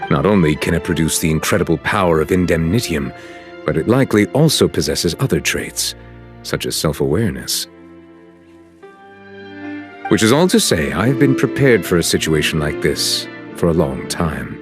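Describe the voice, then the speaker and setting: An actor playing a solemn, courteous chief justice in a video game, speaking in formal, measured, stately phrases. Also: male voice, deep voice, big pitch swings, music in the background. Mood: grave, measured. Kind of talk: scripted game cutscene dialogue.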